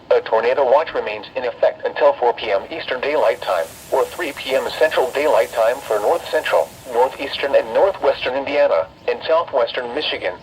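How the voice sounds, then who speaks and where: A synthetic male announcer reads formal emergency weather bulletins in an impersonal, measured way with clipped, list-like phrasing. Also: medium pitch. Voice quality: synthetic text-to-speech voice. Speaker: automated weather radio announcer. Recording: dull, noisy weather radio broadcast.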